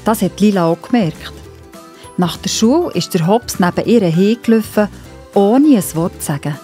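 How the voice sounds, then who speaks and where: A woman's voice: mid-range voice, lively intonation, moderate pace, mellow, faint music behind. A woman with a Swiss German accent narrating a scripted story for children.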